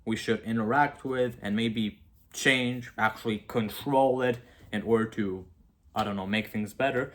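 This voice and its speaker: A young man with a Romanian accent, talking casually to camera about philosophy, rambling and self-mocking with frequent asides. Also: mid-range voice. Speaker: video creator.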